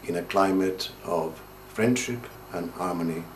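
Older male documentary narrator, formal and measured, deep voice, noisy webcam-style recording in a book-lined room. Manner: measured, formal, read from a script. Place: room with bookshelves. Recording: noisy home-made video recording.

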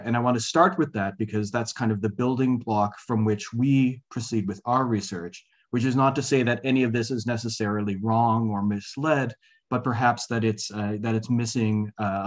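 A middle-aged man giving an academic presentation over a video call, with many fillers and restarts. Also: fairly low pitch. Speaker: guest speaker, associate professor. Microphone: video call webcam.

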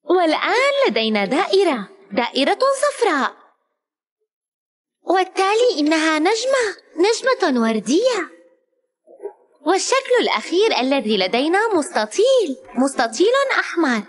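A woman's voice: very high-pitched, big pitch swings, normal speed, pauses often. A woman narrating a children's learning cartoon, exclaiming and asking questions as she talks to the character and young viewers.

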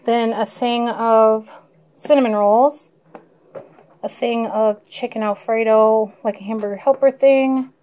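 Slow-talking young woman vlogger. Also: on the high side, steady tone, mellow. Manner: chatty, enthusiastic about food. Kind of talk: casual grocery haul vlog. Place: parked car, then kitchen. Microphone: handheld camera.

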